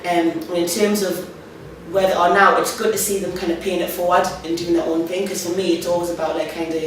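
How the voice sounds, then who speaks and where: A woman's voice: on the low side, level tone, a little fast, a little hiss or hum. A woman youth worker, reflecting in a loose interview with many fillers. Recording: echoey room, mic at a distance.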